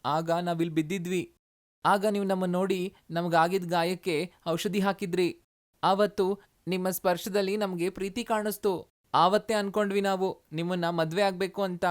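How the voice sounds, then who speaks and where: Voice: medium pitch, steady tone, normal speed, close to an everyday voice. Polite young demon guy in love, done by the narrator, earnest, pleading, storybook dialogue. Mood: confessing. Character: earnest young male demon suitor in human form. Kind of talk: animated moral story dialogue.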